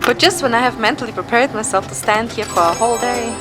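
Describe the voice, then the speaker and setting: A woman narrating a scripted first-person story in a measured, descriptive way. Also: on the high side, over music.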